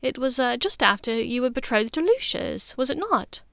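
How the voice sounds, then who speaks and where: Audiobook role: warm witch, older sister female narrator acting, expressive, halting, raised pitch, dry sound. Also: vocal fry, dry recording, full character voice. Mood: fond reminiscing.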